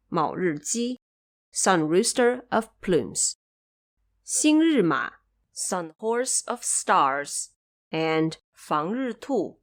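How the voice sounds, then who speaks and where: A woman narrating an audio drama in an expressive, theatrical storytelling style. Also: mid-range voice.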